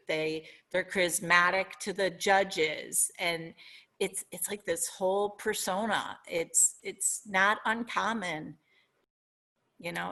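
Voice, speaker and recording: A middle-aged woman with a Minnesotan accent, speaking as an interview guest in an earnest, reflective way, often pausing to search for words. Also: mid-range voice, pushed and bright. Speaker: guest author. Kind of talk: podcast interview.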